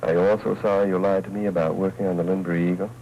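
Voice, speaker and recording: An American actor playing a wry, probing newspaper reporter in clipped 1940s film noir style. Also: male voice, deep voice, flat delivery, very fast. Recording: muffled, noisy 1940s film soundtrack.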